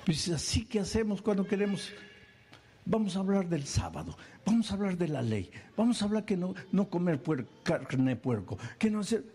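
A man preaching a sermon, animated, acting out little scenes and firing off questions. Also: sits high, moderate pace, slightly rough.

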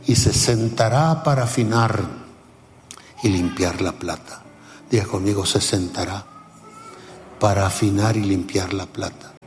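Elderly male preacher, deep and deliberate, in a reverberant hall with faint music. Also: a little mellow. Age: 70s. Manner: unhurried, emphatic, laughs at himself. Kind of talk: sermon.

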